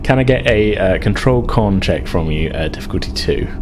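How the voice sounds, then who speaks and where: A man acting as game master, narrating and improvising a tabletop role-playing game in a halting way. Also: fairly low pitch, lively intonation, noisy background. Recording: music behind the voice.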